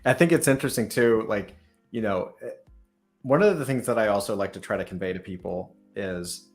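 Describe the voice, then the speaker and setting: A man's voice: lowish voice, a little fast, soft background music. A man hosting a casual interview, talking in a rambling way as he thinks aloud and restarts his questions. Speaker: podcast host and startup founder interviewing a guest.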